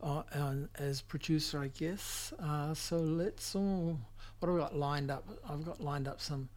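Kiwi guy radio dj, slow and hesitant, rambling between tracks, slightly high voice. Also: fairly even intonation, darkish tone. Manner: halting, hesitant, searching for words. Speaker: radio music show host. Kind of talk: unscripted music show commentary between tracks. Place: radio studio.

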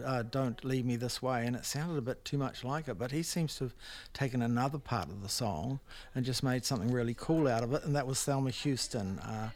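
Male radio DJ, New Zealand accent, mid-range voice, easygoing and unscripted, meandering delivery with pauses to think. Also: medium pitch, darkish tone. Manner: rambling, hesitant, laid-back. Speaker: radio music show host. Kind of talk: casual unscripted radio DJ chat.

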